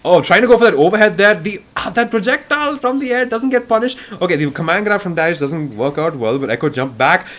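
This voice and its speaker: A man from India doing live, excitable fighting game commentary, his voice getting raspy, swinging between hype and quiet asides. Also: sits high, fast talker, hardly any pauses. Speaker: esports commentator.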